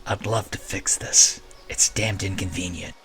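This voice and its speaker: Man acting a rattled, gravelly fantasy rogue. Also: low voice, steady tone, measured pace, pushed and bright, a little hiss or hum, full character voice. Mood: weary, rueful. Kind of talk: fantasy audio drama acting.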